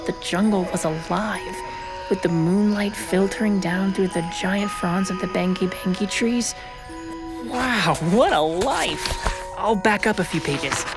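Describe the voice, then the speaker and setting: A woman's voice: mid-range voice, measured pace, over music, close to an everyday voice. A woman playing an earnest, self-important middle-school detective in an audio drama, with formal, precise and rather pompous wording. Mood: grand, reading aloud.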